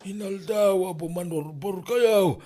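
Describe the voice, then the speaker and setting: An older Ilocano man, played by a male actor, easygoing and full of advice. His voice is high and his delivery slow, in comedy podcast drama style. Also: mellow. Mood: curious, advising.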